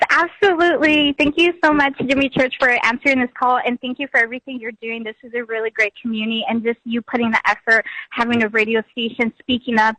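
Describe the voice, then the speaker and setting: A young woman calling in to a radio show, chatty and excitable, peppering her talk with "like" and laughing often. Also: a little high, dry recording, poor audio. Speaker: caller. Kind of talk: phone call to a radio show.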